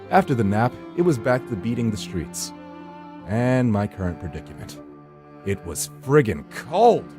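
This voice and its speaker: A man with a low, dark voice narrates a visual novel. He reads the script in a brooding, theatrical way over faint music. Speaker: gameplay channel host reading aloud.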